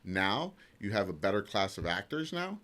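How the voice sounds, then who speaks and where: A man's voice: on the low side, sing-song, normal speed, sharp tone. A middle-aged man co-hosting a podcast, talking in a casual, conversational way. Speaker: podcast co-host. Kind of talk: casual chat.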